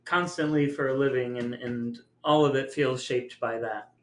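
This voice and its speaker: A man's voice: mid-range voice, steady tone, moderate pace. A man chatting casually and reminiscing about family, with a joking, self-deprecating manner, as a podcast host. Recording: echoey, roomy sound.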